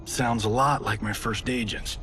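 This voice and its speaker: An actor playing a cocky, wisecracking movie-star fighter in a video game, with an American accent and a flippant, boastful manner. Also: male voice, fairly low pitch, moderate pace, a bit bright, slight background noise, close to an everyday voice. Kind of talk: cinematic video game acting.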